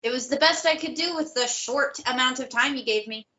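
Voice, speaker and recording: A woman's voice: high voice, a little fast, pushed and bright. A woman playing an exasperated but caring young friend, indignant and earnest, in a stage play table read. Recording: dull, muffled recording.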